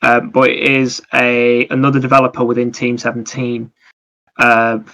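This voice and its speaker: A man's voice: mid-range voice, completely flat delivery, normal speed, a bit bright, rough recording. A man chatting casually as a podcast co-host about video games, explaining things in a rambling, anecdotal way.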